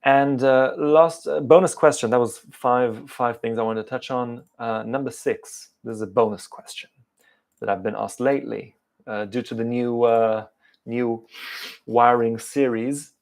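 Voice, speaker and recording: Halting gravelly man, English accent. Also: medium pitch, steady tone, darkish tone. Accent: English with some South African. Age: 30s. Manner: hesitant, many fillers and restarts. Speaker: car channel host. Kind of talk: live stream Q&A chat.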